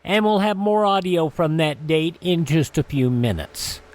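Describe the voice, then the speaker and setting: A man's voice: a little high, measured pace. A man hosting a radio show, reading through an almanac in an announcer-like way with casual asides. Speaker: radio host.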